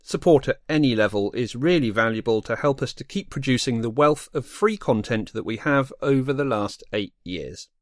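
Man, podcast host, mid pitch, animated delivery, scripted outro, dry recording. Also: normal speed, few pauses, no echo.

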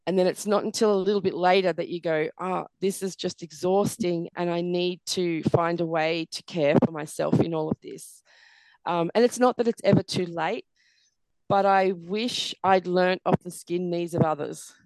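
A middle-aged woman, a podcast guest, speaking earnestly with a croaky, tired voice and lots of "you know" fillers. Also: on the low side, steady tone, moderate pace, darkish tone, no room sound, rough recording. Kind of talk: reflective interview conversation.

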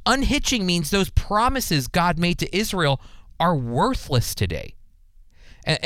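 A man's voice: sits high, fairly bright tone, clear, resonant tone, no echo. A man giving earnest, emphatic Bible teaching in the style of a radio sermon commentary.

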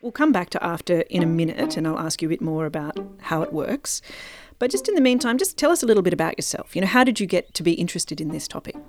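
A woman's voice: on the low side, sing-song, fast, darkish tone, soft background music. A woman hosting a podcast, explaining things informally and speaking straight to listeners between interview questions. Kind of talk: conversational narration and interview.

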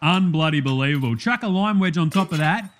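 A man's voice: a little high, animated, brisk, close to an everyday voice. A man voicing a brash, matey Australian bloke hosting a spoof cooking show, giving blokey, slangy, casual instructions. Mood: matey, instructive. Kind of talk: parody TV cooking demonstration.

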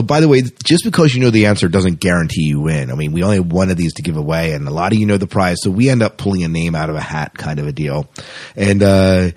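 A man with a fairly low voice hosts a casual podcast. He talks in a loose, conversational manner and tends to ramble as he goes. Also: a little mellow. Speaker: podcast co-host.

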